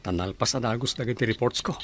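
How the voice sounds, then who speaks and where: A man playing a young husband working abroad in a radio drama, talking in a lively, teasing way. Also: medium pitch, fast talker, slight background noise, natural voice. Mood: friendly. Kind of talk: amateur radio drama acting.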